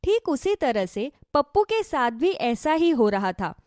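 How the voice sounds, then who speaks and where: A woman narrates a scripted fable for children. Her voice sits fairly high and moves in lively swoops of pitch, in a dry, close recording. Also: unhurried, dry recording. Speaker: storyteller, narrator.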